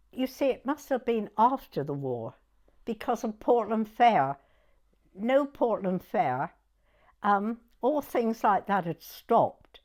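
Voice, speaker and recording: Woman in her nineties, southern English, animated swooping pitch, unhurried, chatty oral history reminiscence. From southern England. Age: 90s. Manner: chatty, animated, repeats herself. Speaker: interviewee recalling her youth.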